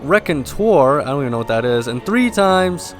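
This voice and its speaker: A man hosting a gameplay video, mixing casual commentary with lore read aloud, in a somewhat halting delivery. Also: fairly high pitch, very animated. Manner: halting, stumbles while reading aloud. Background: game music.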